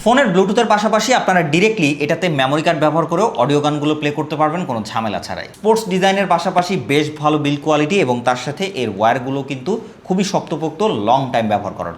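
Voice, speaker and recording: Fast, animated Bangladeshi man pitching a product. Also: echoey room. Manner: code-switches into English words. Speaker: product presenter. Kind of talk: promotional product pitch, scripted narration.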